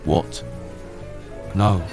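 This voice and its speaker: A man's voice: drawn-out words, a little mellow. A man voicing a tired, wry male secret agent in an audiobook, with a scratchy voice and a dry, casual manner. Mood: weary, wry. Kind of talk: audiobook character voice. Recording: music behind the voice.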